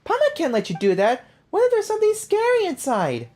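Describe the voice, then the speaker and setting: A woman voicing a small, excitable, childlike fairy girl companion from a video game, eager and exclamatory. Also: extremely high pitch, a little fast, pitched above the performer's own voice, full character voice. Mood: alarmed. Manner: speaks of herself in third person, exclamatory.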